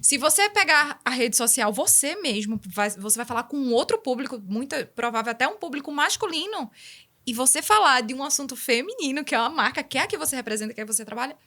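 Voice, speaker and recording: A woman's voice: sits high, expressive, quick pace, pushed and bright. A woman guest on a business podcast who keeps circling back to her point. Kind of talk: casual business chat.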